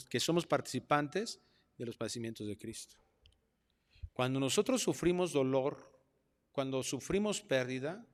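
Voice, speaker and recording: A middle-aged man with a Mexican accent delivers a sermon in an ordinary mid-range voice. He preaches with animation, dropping into quiet asides and rising loud to mock excuses. A long reverb from the church hall trails each phrase. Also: mid-range voice. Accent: Mexican Spanish. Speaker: pastor.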